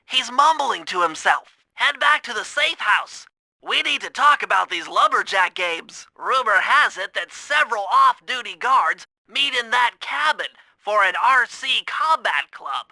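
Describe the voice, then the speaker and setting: Give this game character a very high, piercingly bright voice, a nerdy young male turtle tech whiz. He is fussy, precise and anxious, with a nasal edge. The sound is dry and close. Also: animated, unhurried, vocal fry, dry recording, heavily acted. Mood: earnest, instructive. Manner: precise, fussy, technical briefing delivery. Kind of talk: video game voice acting.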